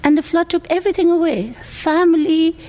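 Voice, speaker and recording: A woman's voice: extremely high pitch, brisk, darkish tone. A woman who grew up in India, a restaurant owner, speaking earnestly in an interview with small hesitations.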